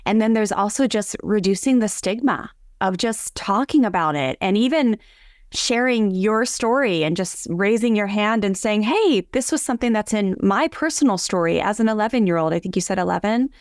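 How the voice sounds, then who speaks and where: A woman's voice: mid-range voice, expressive, moderate pace, dry recording, studio quality. A woman from Southern California hosting a conversation, effusive and chatty, with plenty of fillers. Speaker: podcast host. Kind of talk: casual interview chat.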